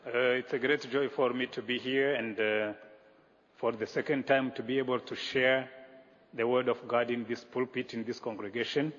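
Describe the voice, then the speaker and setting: Guy from rwanda preaching a sermon, kinda husky voice, long church echo, dull old recording. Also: mid-range voice, steady tone, a bit bright. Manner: measured, earnest delivery with pauses. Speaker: guest pastor. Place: church sanctuary. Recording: echoey hall, dull lo-fi sound.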